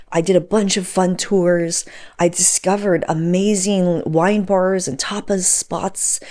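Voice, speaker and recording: A woman's voice: on the low side, darkish tone. A woman of forty hosting a podcast, talking informally and emphatically to her audience as she tells personal stories. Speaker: podcast host. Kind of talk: conversational solo monologue to audience, storytelling.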